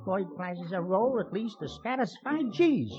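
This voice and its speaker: A man's voice: high-pitched, expressive, measured pace, a little mellow. An actor voicing a slangy male Broadway informer who stammers and repeats himself in a radio crime drama. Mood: eager storytelling. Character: slangy, fast-talking male Broadway informer, touchy and ingratiating. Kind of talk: 1950s radio crime acting. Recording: muffled old radio recording.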